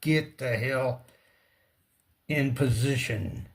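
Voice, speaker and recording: A man giving a casual hands-on repair demonstration, speaking in halting short fragments and muttering to himself as he works. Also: medium pitch. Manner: talks to himself and the object. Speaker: repair tutorial presenter.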